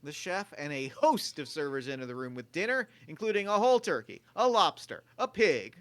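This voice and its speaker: A man's voice: a little high, sing-song, a little fast, fairly bright tone. A man narrating scripted stage directions at a comedic table read.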